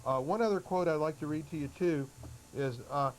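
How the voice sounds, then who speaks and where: Give me a slightly high-voiced male TV guest giving hesitant, matter-of-fact explanations over a faintly noisy old broadcast. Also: a little fast. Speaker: guest health author promoting remedies. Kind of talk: explanatory talk-show answers, some reading aloud. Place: public access television studio. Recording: noisy old public access TV audio.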